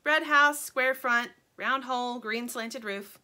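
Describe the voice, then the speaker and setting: A woman voicing a calm, helpful young female chick, patient and matter-of-fact, in a children's story. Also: on the high side, takes it slowly, pitched above the performer's own voice, full character voice.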